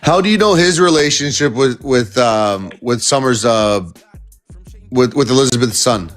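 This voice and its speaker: Rambling live stream host. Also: male voice, mid-range voice, moderate pace, fairly bright tone, faint music behind. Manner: rambling, many fillers and restarts. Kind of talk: casual live interview.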